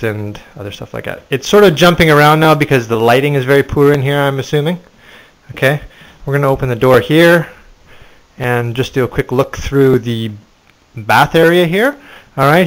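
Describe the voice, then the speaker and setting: Middle-aged man, rambling home-tour narration, webcam audio, mid-pitched. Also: poor audio. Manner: chatty, rambling, points things out. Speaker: vlogger giving a house tour. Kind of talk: casual handheld house tour narration. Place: indoors in a house, moving between rooms. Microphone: handheld webcam.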